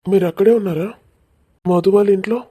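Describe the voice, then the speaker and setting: A woman playing a polite phone caller in an animated love story. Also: mid-range voice, fast talker, breathy edge, natural voice. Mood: polite, inquiring.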